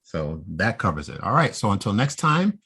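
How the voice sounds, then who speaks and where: A man's voice: lowish voice, sing-song, normal speed, vocal fry, fairly bright tone, breathy edge. A middle-aged man with an American accent, talking conversationally as a podcast host.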